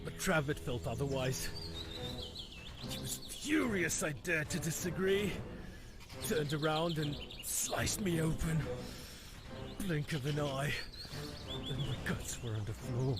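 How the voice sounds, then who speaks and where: A badly wounded young male bandit in a video game, slightly high in pitch and slow to speak. He is gentle, halting and deferential, resigned to his weakness. Loud music and birdsong play behind him. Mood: pained, regretful. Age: young adult. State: weak, badly injured, coughing.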